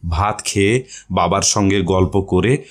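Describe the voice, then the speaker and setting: A man's voice: deep voice, a little slow. A man narrating a short story as a scripted audio reading.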